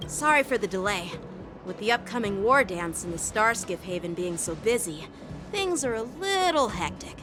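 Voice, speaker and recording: A woman's voice: mid-range voice, moderate pace, close to an everyday voice. A woman voicing an eager, chatty teenage boy swordsman in a game. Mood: cheerful. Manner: bright and chatty, animated. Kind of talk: game voice acting. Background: game soundtrack music.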